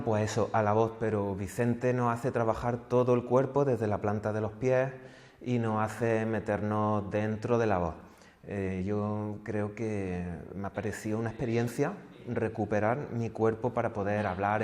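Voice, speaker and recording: A man's voice: lowish voice, darkish tone, long reverb tail. A man, a workshop participant, reflecting on the course with some hesitation. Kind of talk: interview.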